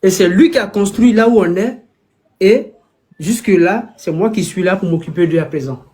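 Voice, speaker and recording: A man with a high voice speaks earnestly as a guest. He repeats whole phrases to drive his points home, in a steady, insistent way. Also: moderate pace. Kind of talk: interview over a call.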